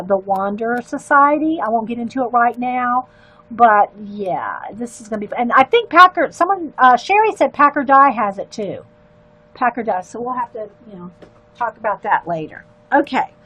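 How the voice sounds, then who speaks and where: An older woman, chatting in a loud, carrying voice and rambling into asides while she colors on a livestream. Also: a little high, dry recording, poor audio. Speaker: livestream artist. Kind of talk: casual chat while coloring.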